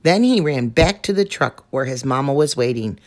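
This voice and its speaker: A woman reading a children's picture book aloud in a slow, gentle and expressive way. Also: extremely low pitch. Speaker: storyteller.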